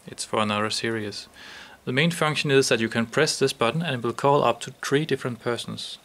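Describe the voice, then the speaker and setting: Gravelly man demonstrating a product. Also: medium pitch, a little fast, a bit bright.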